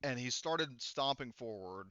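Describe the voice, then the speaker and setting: A man improvising casual tabletop game narration as game master, in a halting way. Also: medium pitch, expressive, pushed and bright, highs cut off.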